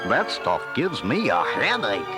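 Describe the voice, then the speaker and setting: A man's voice: on the low side, huge pitch swings. A man voicing a gangly, dim-witted but good-natured cartoon dog with a throaty drawl, in a storybook read-along. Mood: dopey. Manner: bumbling, slow, swooping delivery. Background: music.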